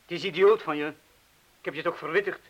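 An actor playing a weary, irritable middle-aged man in a radio drama, speaking haltingly in broken-off phrases. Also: a little high, lightly acted. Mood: urgent, exasperated.

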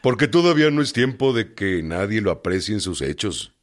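An actor playing a gruff, defiant revolutionary bandit colonel, blunt and laconic, in a radio drama. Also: male voice, fairly low pitch, big pitch swings, a little fast.

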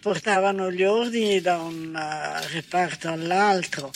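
A woman's voice: fairly low pitch, fairly even intonation, unhurried, slight background noise. An older woman gives spoken testimony in an interview, explaining things plainly. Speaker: former partisan giving testimony. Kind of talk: spoken recollection in a documentary interview.

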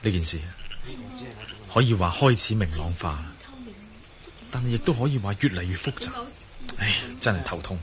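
A man's voice: lowish voice, expressive, moderate pace, long pauses, a crowd in the background, lightly acted. A man acting a cool, wry adventurer hero in a Cantonese radio drama, with a Hong Kong accent. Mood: pensive, inward.

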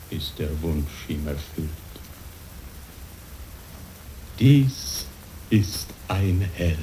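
An actor playing a solemn, humbled samurai knight in an old-fashioned radio play, declaiming haltingly with long pauses. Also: male voice, low-pitched, warm tone, full character voice. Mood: grave. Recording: faintly noisy old radio recording.

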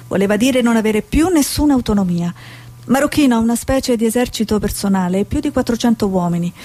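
A woman's voice: a little high, expressive, fast, warm tone. An actress playing a determined, wry woman TV war reporter in a radio drama, probing and self-assured. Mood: confiding, matter-of-fact.

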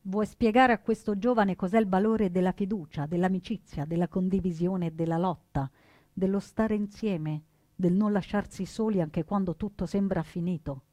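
A woman delivering solemn, emphatic scripted narration for radio theatre, with deliberate dramatic phrasing. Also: mid-range voice, fairly even intonation, dark tone, no echo. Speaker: actress and narrator.

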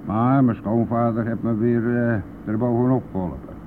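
A man's voice: mid-range voice, steady tone, normal speed, natural voice. Boastful bus driver played by a man, tinny old recording. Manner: blustery, colloquial, mildly swearing. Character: boastful, brash, talkative male bus driver. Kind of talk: radio play acting. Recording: narrow phone-like old radio recording, faint noise.

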